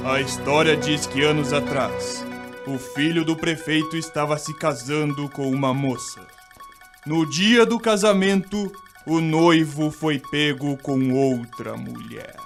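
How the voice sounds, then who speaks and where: A man's voice: a little high, slow pace. A man with a Brazilian accent giving dramatic scripted narration of a ghost story. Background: music.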